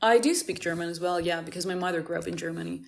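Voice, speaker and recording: A woman's voice: on the low side, quick pace, gravelly. A young woman from Cyprus, a musician chatting in an interview, laughing often and restarting her sentences. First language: Greek. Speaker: musician guest. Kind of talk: casual interview chat.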